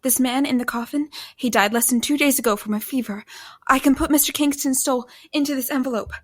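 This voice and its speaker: An actress playing an earnest young woman of the 1870s in an audio drama, gentle and careful with formal wording. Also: sits high, fairly quick. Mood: earnest, resolved. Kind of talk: scripted audio drama with first-person narration.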